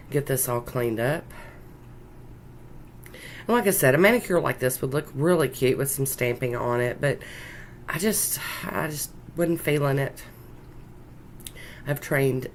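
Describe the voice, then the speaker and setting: A congested woman with a croaky voice and a Southern US accent, chatting casually in a folksy, rambling way as she narrates a nail art tutorial. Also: deep voice. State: congested with a sinus infection, sore throat. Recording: noticeable background noise.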